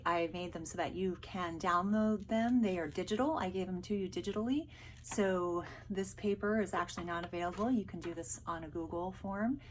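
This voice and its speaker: A woman's voice: medium pitch, slight background noise. A woman teacher speaking casually to pupils, explaining and reading a storybook aloud. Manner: explanatory, addressing pupils directly.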